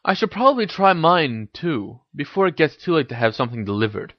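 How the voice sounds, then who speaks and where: A man's voice: fairly high pitch, big pitch swings, fairly quick, dry recording, dull audio, pitched above the performer's own voice. A man voicing an awkward, earnest teenage boy wizard in a story reading, tentative in delivery. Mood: puzzled. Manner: tentative, slightly flustered.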